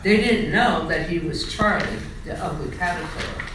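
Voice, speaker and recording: A woman reading a children's storybook aloud in an expressive, dramatic storytelling style. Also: fairly low pitch, moderate pace. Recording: echoey room, distant mic, faint noise.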